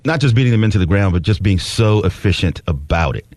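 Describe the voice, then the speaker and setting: A man's voice: fairly low pitch, expressive, quick pace, darkish tone, dry recording. A man hosting sports talk radio, giving opinionated, conversational, unscripted commentary. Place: dry radio studio.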